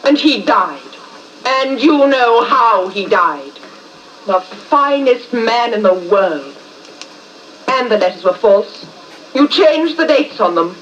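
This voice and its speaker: An actress playing a bitter, grief-stricken widow in a 1950s radio drama, speaking with theatrical deliberation. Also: female voice, a little high, lively intonation, takes it slowly, fairly bright tone, slight background noise. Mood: bitter, grieving. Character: vengeful, grief-stricken veiled widow confronting her blackmailer. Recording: muffled distant 1950s radio recording.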